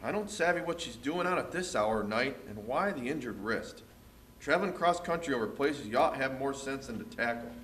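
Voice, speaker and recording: Practical frontier lawman for radio drama, played by a man: mid-range, forceful, plain-spoken and folksy. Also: medium pitch, animated, a little fast, a little hiss or hum, natural voice, rough recording. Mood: puzzled, practical. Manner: folksy cowboy phrasing. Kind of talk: old-time Western radio acting.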